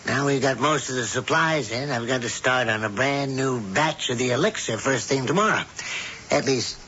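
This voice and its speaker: An actor playing a folksy old warlock. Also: male voice, mid-range voice, moderate pace, pushed and bright, slight background noise, lightly acted. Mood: homey. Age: older. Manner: homey, chatty, easygoing. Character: elderly male warlock, cozy folksy boardinghouse keeper. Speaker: warlock husband. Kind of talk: 1970s radio drama acting. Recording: dull lo-fi old radio broadcast.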